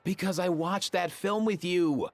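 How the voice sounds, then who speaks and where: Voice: mid-range voice, measured pace, dry recording, close to an everyday voice. An actor playing a sassy, teasing companion in a video game, blunt and drawling. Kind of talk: voice-acted game dialogue.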